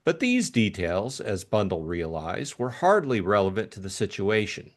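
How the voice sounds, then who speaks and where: A man narrating a classic novel as an expressive audiobook reader. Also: fairly low pitch, normal speed.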